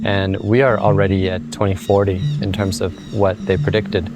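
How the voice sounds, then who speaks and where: A man's voice: deep voice, little pitch movement, moderate pace, over loud music. A man in his thirties with an American accent, speaking reflectively as a farmer in a documentary interview. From United States (born in Brazil, raised in the US). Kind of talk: documentary interview, explanatory storytelling.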